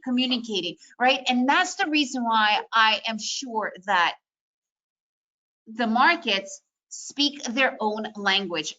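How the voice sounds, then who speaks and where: A woman with a bright, forceful voice teaching day trading in a webinar. She is a native Russian speaker who grew up in the United States. Her talk is chatty and upbeat, pitched a little high, and full of "you know" and "right?". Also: low-quality recording. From Soviet Union, raised in the United States.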